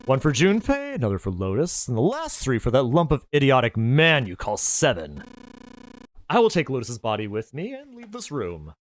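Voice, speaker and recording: A man's voice: mid-range voice, huge pitch swings, normal speed, a little hiss or hum, pitched above the performer's own voice. A man voicing a cruel, genteel middle-aged male villain who talks in a mocking, overly polite way. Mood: cold. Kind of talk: audiobook character voice.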